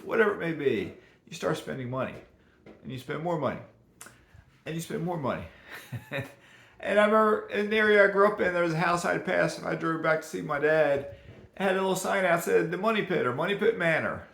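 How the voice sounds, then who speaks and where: A man with a rough, hoarse voice today, chatting casually and rambling about personal finance at home. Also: fairly high pitch, fairly bright tone, roomy sound. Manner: rambling, chatty, laughs at himself. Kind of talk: casual unscripted talk to camera.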